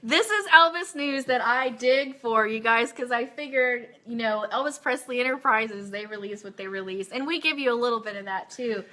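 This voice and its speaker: A woman's voice: fairly high pitch, moderate pace, few pauses, bright, forceful tone. A woman hosting a casual fan radio show, talking in an enthusiastic, informal way as she plugs things.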